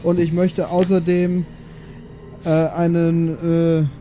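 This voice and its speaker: A man's voice: fairly high pitch, monotone, slow pace, mellow, faint music behind. A man playing a chatty, cheekily polite prisoner in an improvised tabletop game, hesitant and meandering but insistent. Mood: indignant. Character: chatty, cheekily polite male prisoner pestering his guards.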